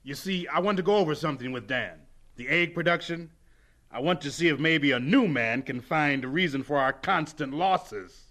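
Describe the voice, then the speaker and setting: An actor playing a brisk, dismissive college chief in a radio drama, authoritative and curt. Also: male voice, on the high side, sharp tone.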